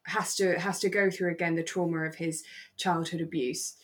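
A British woman co-hosting a relaxed fan podcast, chatting in an effusive, sentimental way. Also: lowish voice, moderate pace. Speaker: podcast co-host. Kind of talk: relaxed fan chat about a radio drama.

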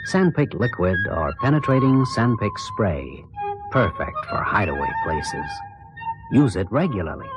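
A man reading a 1960s radio commercial in a deliberate, emphatic way. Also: sits low. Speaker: advert announcer.

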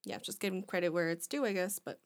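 A woman in her twenties in casual chat on a podcast, talking in a rambling, halting way with many restarts. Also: on the low side, a little hiss or hum. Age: 20s.

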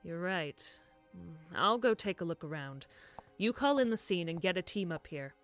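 A woman playing a confident police detective in an audio drama, brisk and commanding. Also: on the low side, expressive, soft background music. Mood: businesslike.

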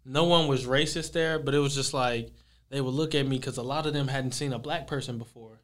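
A man's voice: mid-range voice, brisk. A young man from Texas, a pro basketball player, chatting casually in an interview with lots of fillers. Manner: relaxed, rambling, many fillers.

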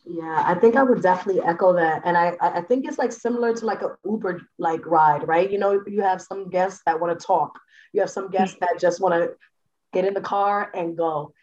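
An outgoing woman from the Bronx chats easily as a podcast guest. Her voice sits a little low. The audio is dull and lo-fi, as if squeezed through a cheap line. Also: fairly quick, darkish tone. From the Bronx, New York. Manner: outgoing, chatty. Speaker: guest, Airbnb superhost. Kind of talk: casual podcast chat.

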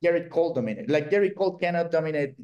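Venezuelan man telling an animated baseball story. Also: on the high side, very fast, muffled recording. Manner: animated storyteller, acts out quotes. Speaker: guest, baseball writer. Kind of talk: casual podcast chat.

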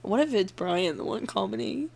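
A young woman with a deep voice, co-hosting a casual chat, swearing and laughing a lot. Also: lively intonation, normal speed, creaky, a little mellow. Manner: giggly, swearing, keeps laughing mid-sentence. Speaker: podcast co-host.

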